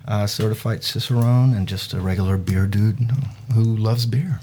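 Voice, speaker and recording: Podcast guest: man from Belgium, low voice, easygoing, chatty, faint room noise. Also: normal speed. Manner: relaxed, chatty, trails off and repeats words. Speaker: podcast guest, certified beer expert. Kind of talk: casual chat.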